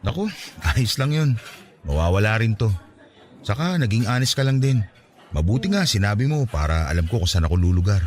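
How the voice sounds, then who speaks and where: A man's voice: lowish voice, sing-song, fairly quick. An actor with a Filipino accent playing an earnest, lovestruck young man pleading his case in a radio drama. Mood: disappointed, accepting.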